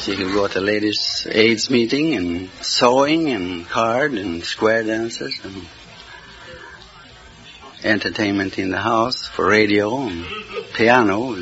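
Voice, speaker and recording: A man's voice: on the low side, slow, a bit bright, soft background music. A man, a farmer contestant, answering a radio quiz host in terse, literal, plain words. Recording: muffled old 1950s radio.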